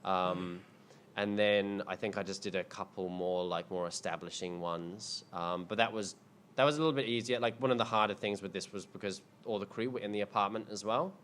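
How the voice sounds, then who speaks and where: A man's voice: lowish voice, fairly quick, fairly bright tone, slight background noise. A man in his thirties, a filmmaker answering audience questions in a casual, rambling way, full of ums and restarts. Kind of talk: casual panel Q&A. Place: indoor screening event.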